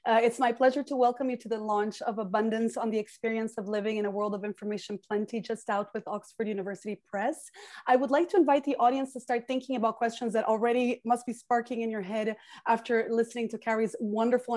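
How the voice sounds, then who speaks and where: Lady hosting a zoom book launch, warm welcoming tone, kinda high voice, earbuds mic. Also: fairly even intonation, fairly quick. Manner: gracious, welcoming. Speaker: host, program organizer. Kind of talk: formal event introduction. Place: home room with bookshelves, indoors. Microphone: earbud headset on video call.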